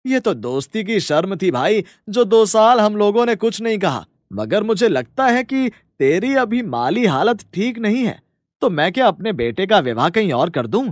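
Man voicing a pressing, high-voiced village father. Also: very animated, moderate pace, no echo, clean studio sound. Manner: firm, pressing. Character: impatient village father of a groom, cartoon.

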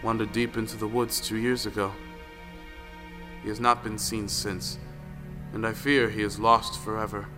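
A man's voice: on the low side, little pitch movement, normal speed, pauses often, voice pushed down, close to an everyday voice. A man as a grave, sorrowful farmer brother. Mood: grieving. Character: sorrowful, hard-working elder brother, a farmer. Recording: long reverb, clear music underneath.

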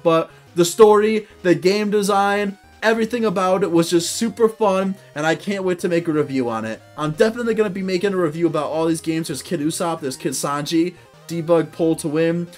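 A young man hosting a video game playthrough, cheering loudly as he narrates his progress from his desk. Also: high voice. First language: English. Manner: excitable, cheering loudly at victories. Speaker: gaming video host. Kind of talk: let's-play commentary. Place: indoor room at a desk.

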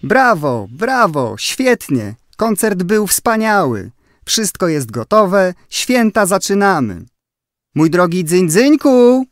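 High-voiced, encouraging leader angel played by a man. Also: sing-song, slow, over music, exaggerated voice. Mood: pleased, praising. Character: cheerful encouraging leader angel giving solemn festive orders. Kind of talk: children's storybook audio play.

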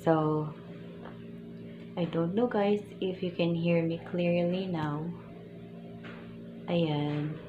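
A young Filipino woman with a soft, quiet voice, chatting casually through a microphone review in a small bedroom and switching between English and Tagalog. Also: fairly low pitch, steady tone, extremely slow, pauses often, roomy sound, narrow, tinny audio, very noisy. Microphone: phone camera, later a small clip-on mic.

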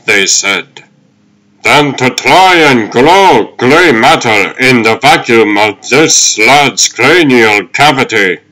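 An old cartoon turtle showman, acted by a man, speaks slowly and grandly. He is wordy and theatrical, drawing out his patter like a huckster. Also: medium pitch, sharp tone, full character voice, rough recording. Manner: theatrical sales patter, drawn-out delivery. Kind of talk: comic dub character acting.

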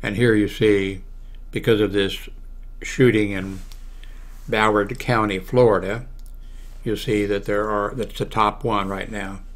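Low-voiced older American man, halting. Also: fairly even intonation. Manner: halting, thinking aloud while clicking through pages. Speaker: video blogger giving a how-to demonstration. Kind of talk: casual unscripted screen walkthrough. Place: small room at home, indoors. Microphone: large desktop microphone close to the mouth.

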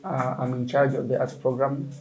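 Creaky-voiced Kenyan man, fairly even. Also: mid-range voice, normal speed, rough recording. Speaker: gallery manager. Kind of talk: interview answers. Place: indoor art gallery room. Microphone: lapel.

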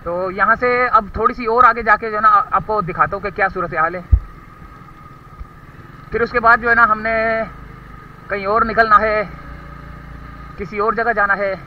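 A man with a Karachi Urdu accent giving rambling ride-along commentary from a motorcycle, recorded on a helmet camera, often pausing to search for words. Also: high-pitched, fairly even intonation. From Pakistan. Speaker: motorcycle vlogger. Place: outdoors on a motorcycle, muddy city street. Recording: distant, dull lo-fi with faint traffic.

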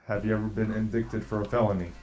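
Low-voiced man asking formal questions. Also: flat delivery, fast talker, darkish tone, slight background noise, low-quality recording. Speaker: roleplay police interviewer. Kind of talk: in-character roleplay interview.

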